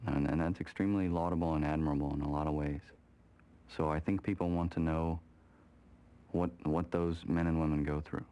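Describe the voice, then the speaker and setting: A man's voice: very deep voice, flat delivery, fairly quick, dark tone, clear, resonant tone. A man talking thoughtfully in a documentary interview.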